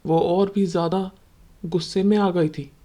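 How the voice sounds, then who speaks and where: A woman's voice: lowish voice, fairly even intonation, a little slow, warm tone. A woman working as a storyteller, delivering scripted dramatic narration.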